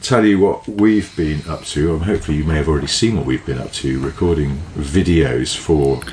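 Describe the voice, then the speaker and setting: A man co-hosting a podcast in casual, rambling chat, quick to laugh, his voice low and tired from two days of talking, recorded inside a caravan. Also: darkish tone, reverberant, slight background noise. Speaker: podcast co-host. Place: inside a large caravan in a field.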